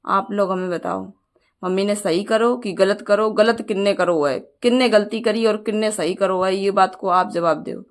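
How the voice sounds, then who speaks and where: A woman's voice: mid-range voice, moderate pace. A young woman, speaking in an earnest, aggrieved way as she makes a personal appeal to her viewers. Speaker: vlogger.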